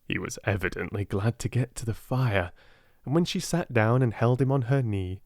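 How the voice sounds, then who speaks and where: A soft-spoken man with a British accent narrating a storybook in a gentle, expressive way. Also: medium pitch, normal speed, no echo, studio quality. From Britain. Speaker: audiobook narrator.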